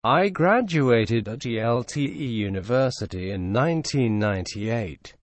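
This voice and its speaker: A man reading short English phrases for learners, slow and clearly spaced. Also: medium pitch, lively intonation, dry recording.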